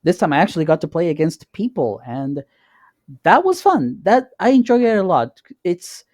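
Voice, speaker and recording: Mellow man chatting casually, dry close sound. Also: sits high, sing-song, no room sound. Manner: halting, repeating words, informal. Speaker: podcast co-host. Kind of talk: casual chat.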